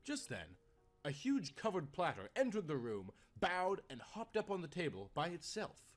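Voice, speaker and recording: A man narrates a story in a theatrical, scripted style. His voice is a little high and very varied in pitch, with music behind it. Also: huge pitch swings, normal speed.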